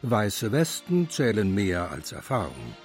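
A man reading the narration of a radio documentary feature in a measured, clear way. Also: on the low side, huge pitch swings, a little mellow, soft background music.

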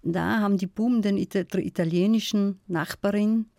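A middle-aged woman with a South Tyrolean accent, telling stories in a thoughtful, measured radio interview. Her voice is warm and mellow. It is recorded close and dry in a studio. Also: mid-range voice, steady tone, creaky, no echo. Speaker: guest, folklorist.

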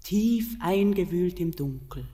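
A slow, solemn mountain queen. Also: fairly low pitch, animated. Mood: entreating. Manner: slow, solemn, sinking to hushed whispers. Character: mysterious queen of the mountain, seductive and commanding. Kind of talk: classic German radio play acting. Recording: long hall reverb.

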